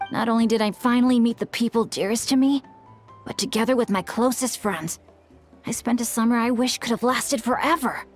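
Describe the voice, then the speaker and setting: A woman playing a sweet, earnest, sentimental young adventurer in a video game, speaking in a heartfelt, expressive way. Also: fairly high pitch.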